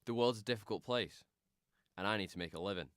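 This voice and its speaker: Radio drama villain: greedy, contemptuous male circus boss played by an actor, low-pitched, crisp and blunt. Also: fast, no echo, studio quality, natural voice. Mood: cold, contemptuous. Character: callous, greedy, bigoted male circus owner.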